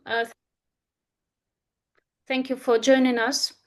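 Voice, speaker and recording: A woman's voice: high-pitched, level tone. Ukrainian-accented woman giving a talk. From Eastern Ukraine. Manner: earnest, with frequent uh and um pauses. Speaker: speaker presenting a talk. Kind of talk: presentation to an online audience.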